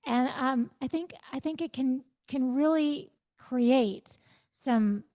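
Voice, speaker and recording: Woman in her fifties, fairly high voice, interview guest, reflective, animated. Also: slow. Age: 50s. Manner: reflective, animated, stresses quoted thoughts. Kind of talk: conversational podcast interview.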